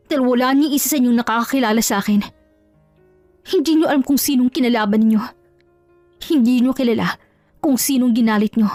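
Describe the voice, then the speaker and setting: Woman acting a fiery, high-pitched wronged young woman. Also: a little mellow, no room sound, lightly acted. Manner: emotional, defiant.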